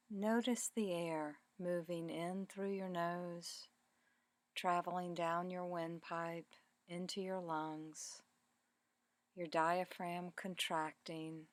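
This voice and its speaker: Slow, low-pitched woman guiding meditation. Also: no room sound. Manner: calm, deliberate, measured phrasing with pauses. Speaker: meditation teacher. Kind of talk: guided meditation, body scan narration.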